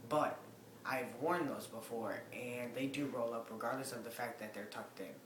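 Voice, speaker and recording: Raspy young man rambling in an echoey room. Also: mid-range voice, moderate pace, a bit bright, slight background noise. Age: young adult. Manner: rambling, many ums, loose and casual. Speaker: vlogger. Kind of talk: casual update to camera. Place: living room with a Christmas tree. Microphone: webcam at desk distance.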